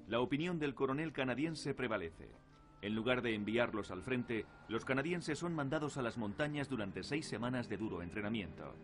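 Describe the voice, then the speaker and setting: A man's voice: mid-range voice, lively intonation, moderate pace, music in the background. A man reading scripted narration for a documentary. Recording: clearly noisy documentary soundtrack. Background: clear background noise.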